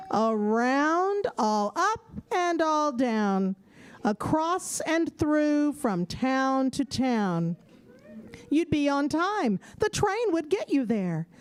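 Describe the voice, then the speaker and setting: High, slow-paced woman storyteller. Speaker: narrator. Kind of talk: rhyming children's story narration for live audio theater.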